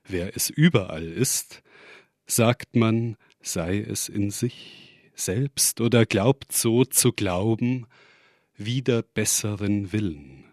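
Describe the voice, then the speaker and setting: A man reading poetry in measured, separated short phrases. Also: lowish voice, darkish tone, dry recording, studio quality. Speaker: poet reading own work.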